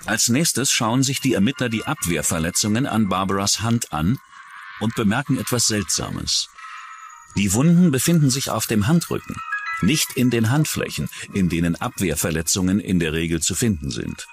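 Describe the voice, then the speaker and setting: A man's voice: fairly low pitch, big pitch swings, moderate pace. A man narrating a scripted true-crime documentary as its narrator. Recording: music bed under the voice. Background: music.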